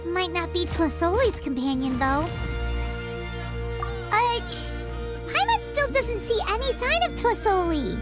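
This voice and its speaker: A tiny floating sidekick girl from a video game. The voice is extremely high and squeaky, swooping all over with chirpy excitement. Loud music plays underneath. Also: a little slow, long pauses, heavily acted. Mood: curious, alarmed. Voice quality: squeaky, childlike. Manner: exclamatory, speaks of herself by name.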